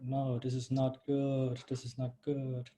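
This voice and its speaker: 30s guy from austria on a video call, warm dark voice, walks through his project. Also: mid-range voice, measured pace, a little hiss or hum. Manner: a little flustered by screen-sharing trouble, then steady and explanatory. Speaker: bootcamp graduate presenting a project. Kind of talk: live-streamed project presentation.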